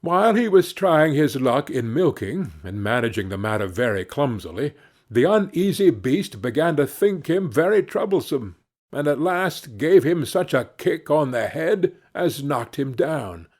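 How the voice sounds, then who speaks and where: A male narrator reads a children's fairy tale in a middle-pitched voice. His delivery is slow and deliberate, with plenty of rise and fall in the storytelling. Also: sing-song, darkish tone.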